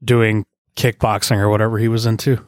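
A man's voice: on the low side, little pitch movement, dry recording, clean studio sound. Joking laid-back male co-host. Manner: joking, quick asides, trailing off. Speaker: podcast co-host. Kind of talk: casual banter.

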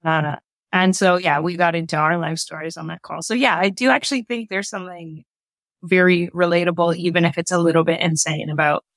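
A woman's voice: fairly low pitch, steady tone, a little fast, dry recording, clean studio sound. A woman speaking as an interview guest, telling personal stories in a casual, conversational style. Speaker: interview guest, audio drama creator.

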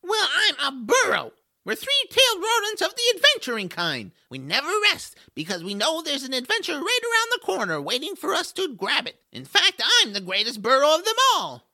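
A man voicing a boastful little male rodent adventurer in a family audio drama, squeaky and raspy, with a showy, excitable manner. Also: moderate pace, full character voice. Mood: indignant, boastful.

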